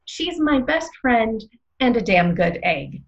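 Casting: actress as opinionated theatre pundit, female, highish creaky voice, rhetorical and emphatic, slightly muffled sound. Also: big pitch swings, takes it slowly, heavily acted. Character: opinionated, theatrical woman co-hosting a casting-odds show. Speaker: co-host of a theatre prediction show. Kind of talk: scripted podcast banter and commentary.

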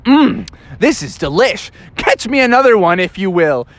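A man voicing a wild, gleeful, mischievous male bear who shouts, cackles and shows off. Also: high-pitched, big pitch swings, moderate pace.

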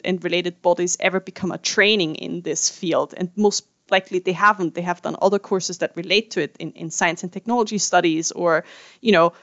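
A woman's voice: mid-range voice, no room sound, muffled recording. A woman who runs a university course, speaking as a podcast guest in a hesitant way with many fillers. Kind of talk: conversational interview answer.

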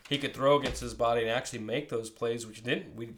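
A man's voice: mid-range voice, steady tone, quick pace, a little hiss or hum. A man co-hosting a sports podcast. He talks with confidence, cites stats and jokes with his co-hosts. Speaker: podcast co-host. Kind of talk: casual sports talk.

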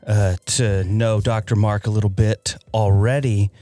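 Man, very low voice, podcast host, relaxed, filler-heavy, dry studio sound. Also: a little slow, dry recording. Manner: easygoing, filler-heavy introductions. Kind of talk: casual podcast chat.

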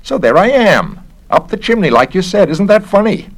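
A man's voice: high voice, very animated, moderate pace, voice pushed up, heavily acted. A man voicing a breezy, amused society woman in a storybook reading, with an airy, blithe manner.

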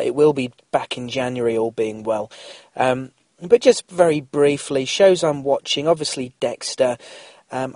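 A man's voice: medium pitch, a little mellow, no echo. A middle-aged man hosting a casual solo podcast, chatty and rambling, slightly nasal from a cold. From England. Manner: chatty, rambling, frequent fillers and restarts. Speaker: podcast host. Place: small car-based mobile studio.

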